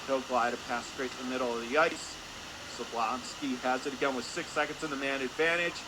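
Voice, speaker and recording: A man's voice: medium pitch, brisk. A man calling live hockey play-by-play for radio in clipped, urgent phrases, following the action as it moves. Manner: urgent, clipped running description, voice rising with the action. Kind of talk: live radio sports commentary. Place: hockey arena. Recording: clear steady background noise.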